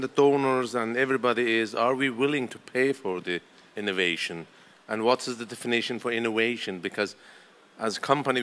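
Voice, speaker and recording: A man's voice: mid-range voice, a bit bright. A man giving an emphatic, choppy spoken comment at a roundtable event, often posing rhetorical questions. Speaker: company representative at a roundtable.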